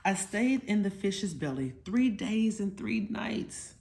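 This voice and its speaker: A woman's voice: medium pitch, expressive, slow pace, creaky, noisy background. A woman voicing an eager young boy in a storybook, dramatically retelling his part in a Bible play. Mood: eager, dramatic.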